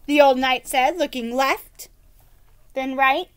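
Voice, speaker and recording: A woman's voice: sits high, slow pace, smooth. A woman reading a storybook aloud to children and explaining it plainly. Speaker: read-aloud reader for children.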